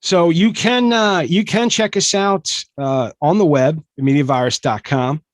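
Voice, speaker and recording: A man's voice: fairly high pitch, a little slow, no room sound, studio quality. A man hosting a comedy podcast, joking and rambling with lots of fillers. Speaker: podcast host. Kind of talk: casual comedy podcast chat.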